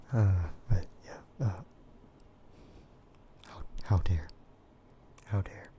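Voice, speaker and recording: Soft-voiced man in a low half-whisper. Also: lively intonation, extremely slow, long pauses, a little hiss or hum. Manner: hesitant, full of fillers and quiet laughs. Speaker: streamer. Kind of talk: soft-spoken ASMR chat with viewers.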